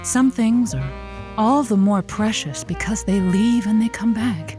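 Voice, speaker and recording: An actress playing a sardonic, flirtatious late-night radio host in an audio comedy, teasing and theatrical. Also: female voice, mid-range voice, lively intonation, a little slow, mellow, soft background music, natural voice. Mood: sultry, playful.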